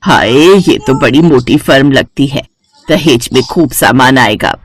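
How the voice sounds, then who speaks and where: A woman's voice: extremely low pitch, a little slow, pitched below the performer's own voice. A woman voicing a greedy, snobbish middle-aged mother-in-law in a cartoon story, with a scolding, exclaiming manner. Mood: greedy delight. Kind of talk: Hindi cartoon bedtime-story character acting.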